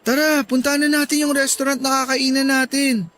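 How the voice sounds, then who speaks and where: A man's voice: very high-pitched, flat delivery, fairly quick, a bit bright, a little hiss or hum. A man playing an apologetic, pleading young boyfriend in a radio drama, coaxing and eager to please. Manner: placating, coaxing.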